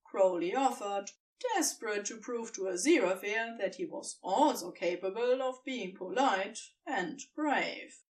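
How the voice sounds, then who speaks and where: A woman narrating a fan fiction story in an expressive, scripted storytelling style. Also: medium pitch, measured pace, hardly any pauses, distant mic, rough recording.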